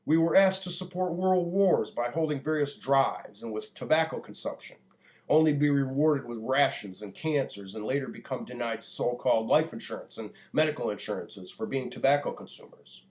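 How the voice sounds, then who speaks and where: An American man delivering a prepared public address in a deliberate, earnest, preaching manner. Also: medium pitch, darkish tone. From United States. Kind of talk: earnest prepared monologue, oratory.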